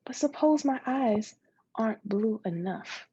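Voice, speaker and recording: A woman's voice: on the high side, animated, unhurried, dark tone, husky, muffled recording. A woman voicing an anxious young girl who pleads and keeps asking insistent questions in a dramatic literary read-aloud. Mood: pleading, fretful. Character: young girl, anxious, pleading, obsessed with having blue eyes.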